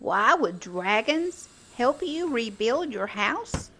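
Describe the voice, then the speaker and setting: A woman narrates a children's picture book in a high, bright voice. She reads slowly and playfully, with expressive storytelling that speaks straight to the young listener and the characters. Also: slight background noise. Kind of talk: animated read-aloud storytelling.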